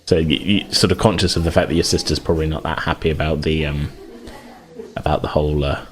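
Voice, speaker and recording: A man's voice: a bass voice, steady tone, fast talker. A man narrating casually as game master, improvising in an informal, hedging way.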